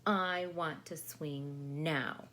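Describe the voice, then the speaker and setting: A woman's voice: sits low, steady tone, extremely slow, fairly bright tone, heavily acted. A woman voicing a demanding, impatient little girl in a children's storybook, with a whiny, insistent manner. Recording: faint background noise.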